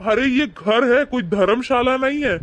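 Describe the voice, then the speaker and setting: A man acts out a young husband in a cartoon moral story, with a very high-pitched voice. He is angry and rude, scolding his wife and in-laws in an indignant, accusing way. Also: a little slow, a bit bright. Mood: rude, irritated. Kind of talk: animated moral-story dialogue.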